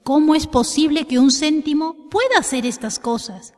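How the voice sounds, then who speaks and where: A woman voicing a puzzled, kindly male village shopkeeper in a children's story, gentle and wondering. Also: high voice, animated, moderate pace. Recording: long reverberant echo.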